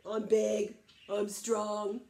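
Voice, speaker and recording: A woman's voice: medium pitch, monotone, extremely slow, vocal fry, darkish tone. A woman voicing a big, strong, cocky male goat in a children's story, blunt and challenging. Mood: defiant. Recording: echoey room.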